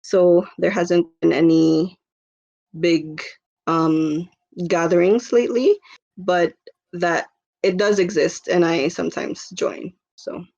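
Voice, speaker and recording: A woman's voice: lowish voice, fairly even intonation, slow, gravelly, a little mellow, dull audio. A woman in her twenties from the Philippines, a podcast guest chatting casually with lots of fillers and easy laughter. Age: 20s. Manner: rambling, filler-heavy, laughs easily. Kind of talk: casual chat.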